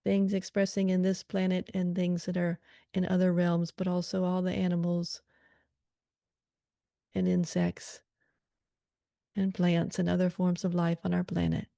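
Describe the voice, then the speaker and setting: Slow, mellow woman guiding meditation. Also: on the low side, creaky, no echo, studio quality. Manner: slow, calm, soothing, with gentle pauses between short phrases. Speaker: meditation guide. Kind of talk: guided meditation, calm spoken prayer.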